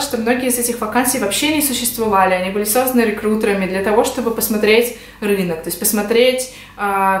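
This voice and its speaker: A woman in her twenties from Russia, talking casually to the camera as she explains things in a vlog. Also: medium pitch. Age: 20s. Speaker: vlogger. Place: small indoor room at home. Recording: echoey home room.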